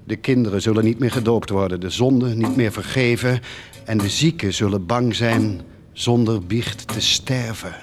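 An actor in a Dutch radio drama playing a driven, scheming war leader with an intense, rhetorical delivery. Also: male voice, on the low side, moderate pace, close to an everyday voice. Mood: scheming, forceful. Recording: music behind the voice.

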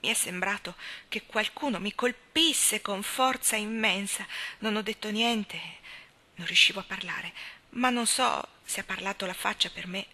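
A woman's voice: medium pitch, measured pace, bright, forceful tone. A woman reading a story as an anguished, restless young woman who speaks in vehement, exclaiming bursts. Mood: ashamed, desperate. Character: anguished young woman confessing a hopeless secret love.

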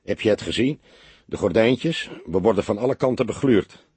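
A man's voice: fairly low pitch, lively intonation, moderate pace, darkish tone, slightly rough. A man voicing a junior police detective in an audiobook, grumbling and questioning in a blunt, puzzled way. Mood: gloomy, grumbling.

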